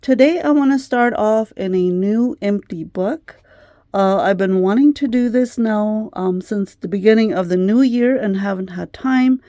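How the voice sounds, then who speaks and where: A middle-aged woman talks through a craft vlog in a gentle, chatty way, full of ums and uhs. Her voice is mellow and a little worn, as if she is starting to lose it. The sound is close and dry, with no room around it. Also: medium pitch, a little slow, no echo, muffled recording. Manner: gentle, chatty, many fillers. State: slightly losing her voice. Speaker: craft channel host. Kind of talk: casual craft vlog chat.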